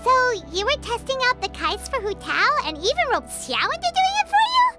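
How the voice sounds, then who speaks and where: A woman voicing a chirpy, childlike floating companion in a video game, with a high, bubbly voice. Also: wildly swooping pitch, a little fast, loud music behind the voice, full character voice. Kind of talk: game voice acting.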